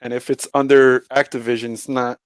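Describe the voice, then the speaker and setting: A man's voice: mid-range voice, level tone, a little fast, smooth, dry recording. A man co-hosting a podcast in casual banter, talking in a slangy way and swearing freely. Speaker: podcast co-host.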